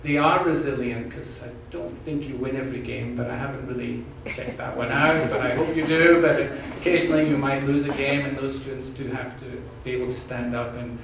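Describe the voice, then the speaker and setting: A man's voice: medium pitch, fairly even intonation, brisk, few pauses, fairly bright tone. A man with a slight Scottish accent answering questions at an open forum, earnest and jokey, often repeating words as he restarts. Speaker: university president candidate. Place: large echoey room from far off. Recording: echoey room, distant mic, background noise.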